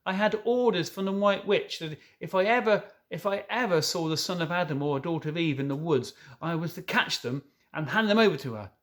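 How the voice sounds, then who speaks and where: A man's voice: on the high side, brisk, hardly any pauses, pitched above the performer's own voice, lightly acted. A man reading a storybook as an anxious, tearful male fawn who stammers and pleads. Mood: agonised. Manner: stammering, pleading, self-reproaching.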